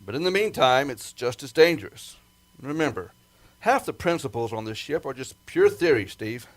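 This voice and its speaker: A man's voice: mid-range voice, big pitch swings, normal speed, close to an everyday voice, rough recording. Man acting an insistent rocket project chief. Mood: stern, cautioning. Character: gruff, careful male rocket project chief briefing his pilot. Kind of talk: amateur retro 1950s sci-fi radio play acting.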